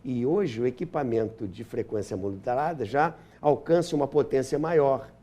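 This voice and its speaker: A man's voice: medium pitch, normal speed, warm tone, lo-fi sound. An older man with a Brazilian accent telling anecdotes and explaining history as an interview guest, repeating phrases for emphasis.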